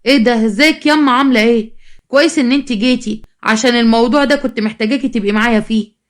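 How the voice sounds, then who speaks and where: A woman's voice: fairly high pitch, very fast, close to an everyday voice. A woman storyteller voicing a defiant, scheming young bride with an Egyptian accent, sassy and complaining. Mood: relieved, aggrieved. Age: young adult. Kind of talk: dramatized storytelling.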